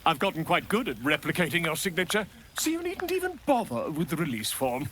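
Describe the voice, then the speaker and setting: An actor playing a flamboyant, self-important bard in a video game, speaking in a florid, theatrical and chummy manner. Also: male voice, a little high, big pitch swings, brisk, fairly bright tone, a little hiss or hum. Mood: jovial, self-satisfied. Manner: theatrical, florid, grandiloquent storytelling. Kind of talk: video game character acting.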